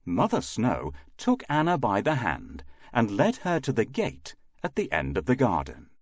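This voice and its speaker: A man narrating a scripted children's fairy tale in a measured, expressive way. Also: medium pitch, no echo, studio quality. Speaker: storyteller, narrator.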